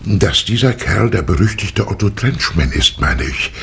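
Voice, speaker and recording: A man's voice: on the low side, animated, moderate pace, vocal fry, a bit bright. A man playing a musing professor in a 1960s German radio crime play. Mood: musing, doubtful. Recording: noisy old vinyl with clicks.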